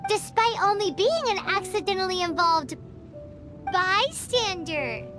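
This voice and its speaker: Little squeaky fairy kid from a game, super high, excitable and teasing, music behind. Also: female voice, unhurried. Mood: curious, teasing. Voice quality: squeaky, childlike. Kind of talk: video game voice acting.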